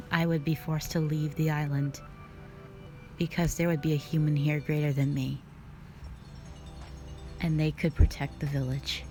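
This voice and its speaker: A low-voiced female dragon guardian, acted by a woman, stern yet calm and bound by duty. She talks very slowly in measured, formal phrases, like a solemn warrior in an audio drama. Music plays underneath. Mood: calm, proud. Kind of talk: scripted roleplay audio drama monologue.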